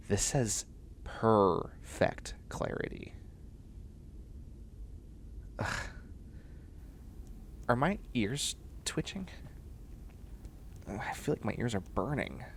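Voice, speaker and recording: A man's voice: lowish voice, creaky, a little hiss or hum, exaggerated voice. A man playing a flustered, sardonic young man turning into a catboy in a comedic sci-fi audio roleplay, stammering with exasperation and sarcasm. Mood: uneasy, wry. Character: flustered, sardonic young man sprouting cat ears and tail.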